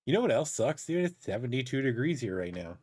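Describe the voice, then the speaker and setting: A man's voice: mid-range voice, very animated, fast, a little mellow, breathy edge, no echo, clean studio sound. A man co-hosting a livestream, chatting casually with his partner and the viewers. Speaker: livestream co-host. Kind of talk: casual chat.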